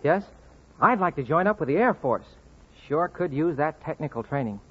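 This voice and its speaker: An eager young man fresh out of high school asks hopeful questions in a vintage radio ad, as a male announcer puts him on. The voice is a little breathy and husky, and the old broadcast sound is muffled. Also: big pitch swings, normal speed. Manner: eager, asking. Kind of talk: dramatized radio ad.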